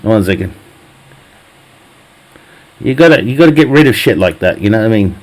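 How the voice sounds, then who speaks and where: A man's voice: on the low side, animated, moderate pace, a little mellow, poor audio. A man chatting casually with his live stream viewers, swearing a lot and laughing often at his own jokes. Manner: profane, jokey banter, laughs often. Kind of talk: casual chat with viewers while gaming.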